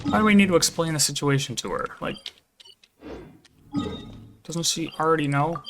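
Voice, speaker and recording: A man's voice: a little high, normal speed, a bit bright, faint music behind. A man giving casual, blunt, informal commentary as he plays through a game. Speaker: game streamer.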